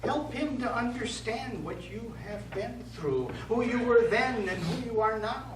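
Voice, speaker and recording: Actor as slow, patient priest, echoey stage. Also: male voice, very high-pitched, expressive. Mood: probing, patient. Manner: measured, halting, counselling. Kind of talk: stage play acting. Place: small theatre stage, recorded from afar. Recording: echoey stage, distant mic, clear noise.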